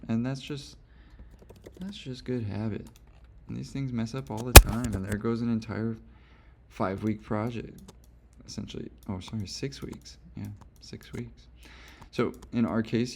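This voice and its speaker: A hoarse young man giving a casual, rambling software tutorial, correcting himself often as he goes. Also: fairly low pitch, slight background noise. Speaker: instructor. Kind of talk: screen-recorded software tutorial.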